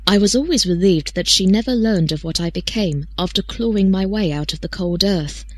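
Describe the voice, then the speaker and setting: A woman's voice: medium pitch, normal speed, no room sound. A woman reading first-person fiction as an audiobook storyteller.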